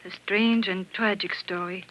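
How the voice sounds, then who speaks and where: An actress playing a wistful dressmaker in a measured, sorrowful, theatrical 1940s radio drama style. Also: female voice, medium pitch, fairly even intonation, natural voice. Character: wistful dressmaker Marie, telling a tragic story. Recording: noisy, dull old 1940s radio recording.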